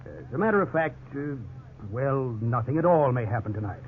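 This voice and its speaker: An actor playing a pushy, falsely sincere real estate salesman in a 1950s radio drama, with smooth patter and feigned hesitation. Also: mid-range voice, expressive, normal speed, lightly acted. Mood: oily, persuasive. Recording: muffled, noisy 1950s radio recording.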